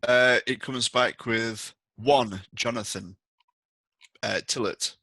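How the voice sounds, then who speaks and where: A man's voice: medium pitch, fairly even intonation, takes it slowly, bright, forceful tone, dry recording. A man narrating a tabletop roleplaying game as game master, setting out information and scenes for the players.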